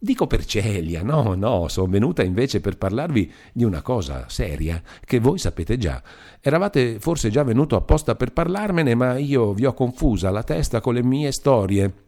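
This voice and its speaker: A man reading aloud and voicing a lively, chattering young widow with a bright, teasing manner. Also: mid-range voice, moderate pace.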